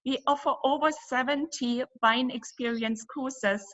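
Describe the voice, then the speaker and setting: A woman's voice: high-pitched, steady tone, a little slow, fairly bright tone. A German-accented woman who owns a cruise company answers interview questions, enthusiastic and fluent, with frequent fillers. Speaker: river cruise company co-owner. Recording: muffled lo-fi audio.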